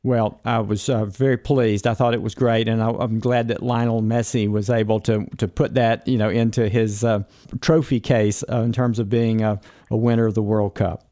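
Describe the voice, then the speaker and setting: A man hosting a morning broadcast interview, speaking in a smooth, measured way with a few hesitant fillers. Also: on the low side, little pitch movement, warm tone. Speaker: morning show host.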